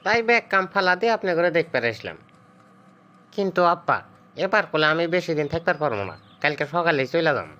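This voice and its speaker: A man acts out an entitled young son-in-law from a village comedy cartoon. His voice is smooth and clear, with a Sirajganj Bangladeshi accent and a sarcastic, grumbling delivery. The sound is slightly dull. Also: a little high, very animated, fast, sharp tone. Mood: polite. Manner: complaining, sarcastic.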